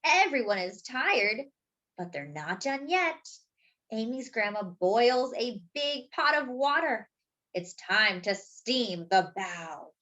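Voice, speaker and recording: A woman reading a picture book aloud to young children as a storytime host, expressively, with drawn-out words and little asides to her listeners. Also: on the high side, big pitch swings, pushed and bright.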